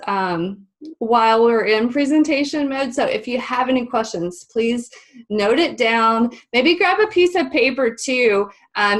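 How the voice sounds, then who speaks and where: A woman hosting a webinar, a little stuffy and nasal, talking in a friendly, chatty way with many ums. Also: fairly high pitch. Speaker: webinar host. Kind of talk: live webinar presentation. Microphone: video call.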